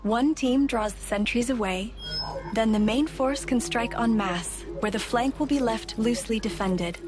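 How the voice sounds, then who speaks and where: Composed, high-voiced female military leader acted by a woman. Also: a little slow, over music, natural voice. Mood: composed, approving. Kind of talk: video game voice acting.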